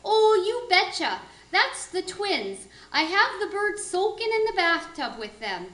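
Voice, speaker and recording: A chirpy Minnesotan auntie in a comic radio play, very high and swooping in pitch. She chats away obliviously, pleased with her own little jokes, in an echoey room. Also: big pitch swings, unhurried, fairly bright tone, full character voice. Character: folksy, chirpy, oblivious auntie giving silly turkey advice.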